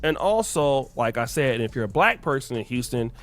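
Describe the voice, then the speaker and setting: A man narrating a scripted commentary video in a lively, emphatic explaining manner. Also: medium pitch, normal speed.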